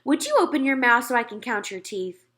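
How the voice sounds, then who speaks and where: A jolly, teasing male dentist from a children's story, as a woman reader puts him on. The delivery is bright, bouncy and very animated, humoring little children. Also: fairly high pitch, fairly quick, voice pushed up.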